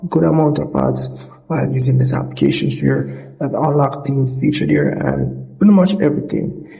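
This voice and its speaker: A man's voice: mid-range voice, vocal fry, dark tone, poor audio. A man narrating an informal app tutorial as its host, explaining in a repetitive way and correcting himself.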